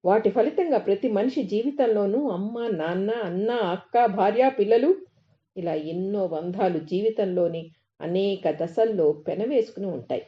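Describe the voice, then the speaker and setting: A woman reads a reflective devotional essay as a narrator, in a measured way, phrase by phrase. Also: mid-range voice. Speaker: narrator reading articles aloud. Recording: dull, lo-fi recording.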